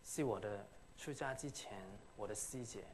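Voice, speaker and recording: A man from Hong Kong with a Cantonese accent, giving a Dharma talk as a Buddhist reverend in short, halting phrases. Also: medium pitch, slight background noise. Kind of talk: Dharma talk delivered in pauses for an interpreter. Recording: audible crowd behind the voice.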